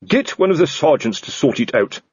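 A man voicing a pompous, blustering middle-aged male watch captain who shouts and splutters indignantly. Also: a little high, very animated, a little fast, breathy edge, no echo, lo-fi sound, pitched above the performer's own voice, exaggerated voice. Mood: huffy.

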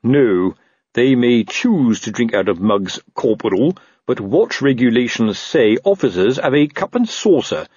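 A man's voice: medium pitch, lively intonation, a little slow, a little mellow, slightly rough, no echo, highs cut off. A man voicing a fat, old, pompous police captain who snaps officiously and suspects everyone, in a fantasy audiobook. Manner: snapping, blustering, officious.

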